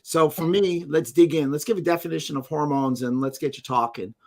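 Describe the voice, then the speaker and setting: A man's voice: fairly high pitch, steady tone, fairly quick, gravelly. A man hosting an interview podcast, upbeat, reading a guest's bio with small asides. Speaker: podcast host. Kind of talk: interview introduction and questions.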